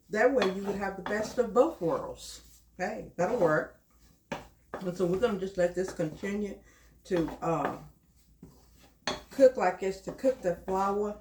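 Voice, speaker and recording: A middle-aged woman talks her viewers through a recipe at her stove, calling them y'all in a chatty, homey way. Her voice is stuffed up and a little nasal from a lingering cold. The kitchen sounds roomy, and a loud sizzle and frying rise from the pan behind her. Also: medium pitch, background noise. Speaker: cooking channel host. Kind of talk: casual cooking vlog.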